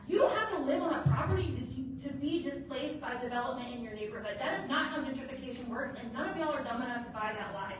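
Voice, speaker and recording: A woman giving a forceful, accusatory public comment in a city council chamber. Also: high voice, slight background noise. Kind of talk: impassioned public comment speech. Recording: echoey hall from far off.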